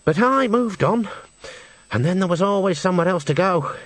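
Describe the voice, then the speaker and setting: A man voicing a wistful opera singer from rough city slums, hesitant and complaining, in a storybook reading. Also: high-pitched, lively intonation, moderate pace, a bit bright, dry recording, voice pushed up. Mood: plaintive.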